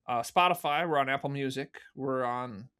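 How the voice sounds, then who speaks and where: Guy with a bright, forward voice, self-deprecating podcast guest, just chatting. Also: medium pitch, normal speed, smooth. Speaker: interview guest, fan audio drama producer. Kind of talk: casual chat.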